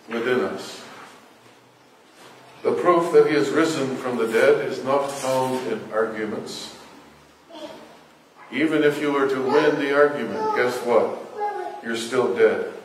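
An older man, a priest in his sixties or beyond, speaking solemnly and deliberately in a liturgical, preaching style. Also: medium pitch. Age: 60s or older. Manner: solemn, measured, deliberate delivery. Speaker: Orthodox parish priest. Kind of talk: liturgical Gospel reading and sermon. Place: church interior, at a lectern before the icon screen. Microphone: distant camera. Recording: echoey church from far off, faint noise.

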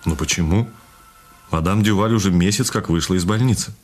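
An actor playing a polite but persistent police officer in a radio drama, speaking formally. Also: male voice, deep voice, fairly quick, faint music behind, natural voice. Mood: polite, insistent.

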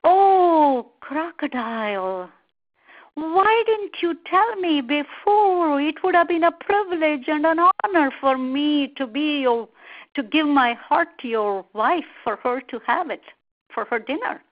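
A woman voicing a friendly, quick-witted male monkey in a storybook tale, with exclamatory, emphatic delivery. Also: sits high, lively intonation, muffled recording, pitched above the performer's own voice. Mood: eager, feigned regret.

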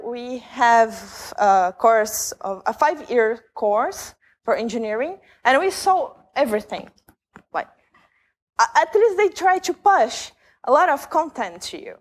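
A young woman from Brazil with a Brazilian Portuguese accent giving a conference talk in a large lecture hall, speaking conversationally with many fillers and restarts. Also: fairly high pitch. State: jet-lagged. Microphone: stage mic, camera from far back.

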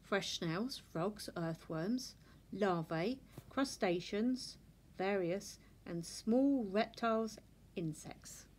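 A woman reads a picture book aloud for children at a very unhurried pace. Her voice sits at a middling pitch. She tells the story expressively and deliberately. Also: a little mellow. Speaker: storybook reader. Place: indoors in a furnished room at home.

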